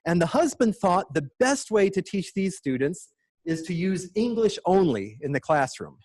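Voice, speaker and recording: A man's voice: sits high, very animated, moderate pace, darkish tone. A middle-aged man giving an enthusiastic, lightly joking conference presentation with slides. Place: conference room.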